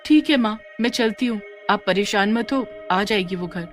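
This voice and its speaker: A woman's voice: medium pitch, moderate pace, faint music behind, lightly acted. A woman voicing a tender, anxious young village woman in a cartoon story, in a plaintive manner. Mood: worried.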